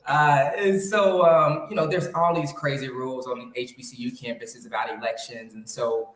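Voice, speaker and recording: A man in his thirties, a guest telling a story in a relaxed, chatty way. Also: medium pitch, normal speed, crowd noise. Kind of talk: casual interview storytelling. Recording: echoey room.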